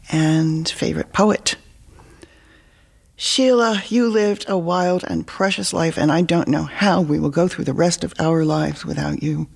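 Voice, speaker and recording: A grieving friend at a funeral, slow and breathy, with a lowish voice. She sounds tearful and fragile in this audio drama. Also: exaggerated voice. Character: grieving woman friend reading a eulogy poem. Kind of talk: audio play acting.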